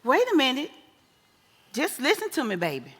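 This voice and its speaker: An actress plays an older American mama in a stage play, folksy and blunt but doting. Her voice is fairly high and bright, and she draws out her phrases to make a point. It rings in a reverberant theater hall. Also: wildly swooping pitch, slightly rough, exaggerated voice. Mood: wise, earnest. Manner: folksy, drawn-out emphatic phrasing.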